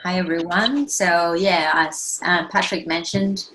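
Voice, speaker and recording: A woman in her thirties with an Argentine accent, giving a relaxed, thoughtful presentation over a video call. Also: lowish voice, unhurried, slight background noise, low-quality recording. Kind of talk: casual online presentation.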